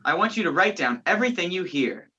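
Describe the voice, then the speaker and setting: Woman acting urgent fugitive hero, bright and swooping. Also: lowish voice, animated, fast. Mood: insistent, commanding. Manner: urgent, commanding. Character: Richard Hannay, determined man on the run. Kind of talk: radio play acting. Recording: dull lo-fi virtual recording.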